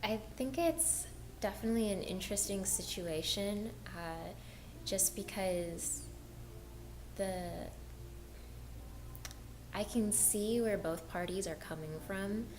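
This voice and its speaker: A woman interviewee from Hawaii giving measured, reflective answers. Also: mid-range voice. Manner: measured, reflective, hedges with "I think". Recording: background noise.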